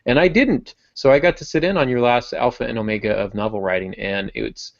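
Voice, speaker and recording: A man's voice: medium pitch, brisk, vocal fry, dry recording, lo-fi sound. A man hosting a podcast, talking in a friendly, rambling way with restarts and joking asides. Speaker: podcast host. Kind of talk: casual podcast chat.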